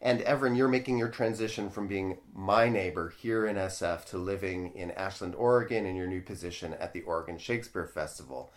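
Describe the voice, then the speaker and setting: A man's voice: deep voice, fairly quick, hardly any pauses. A man hosting an interview in a conversational style. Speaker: interview host.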